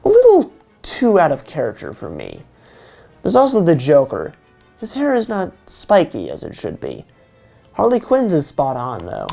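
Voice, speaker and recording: Creaky, animated man reviewing. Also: fairly high pitch. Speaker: video reviewer. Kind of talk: scripted review narration. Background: faint music.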